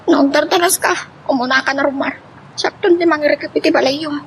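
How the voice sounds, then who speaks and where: A woman's voice: sits high, steady tone, brisk, a little hiss or hum. A woman with an Ilocano accent acting a sleepy, sulky, whiny young mistress in an audio drama.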